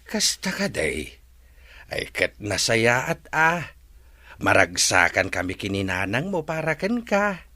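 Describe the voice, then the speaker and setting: A man playing a caring, inquisitive middle-aged father in an audio drama, speaking slowly and emphatically. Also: mid-range voice, sing-song, pushed and bright, no echo, exaggerated voice. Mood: pleased, curious. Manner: slow, emphatic.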